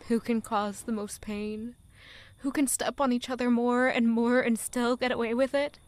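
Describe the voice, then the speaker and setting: A woman's voice: on the high side, dry recording, close to an everyday voice. A woman playing an anguished, weary young village girl in a dramatic, emotional audio drama monologue. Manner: emotional, slow, deliberate delivery with rhetorical questions.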